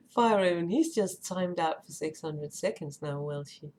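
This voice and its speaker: A woman with a Danish accent chatting casually on a live stream, often pausing with "um" and "uh" as she searches for words. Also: on the low side, very animated, a little mellow. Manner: hesitant, many ums and uhs while searching for words.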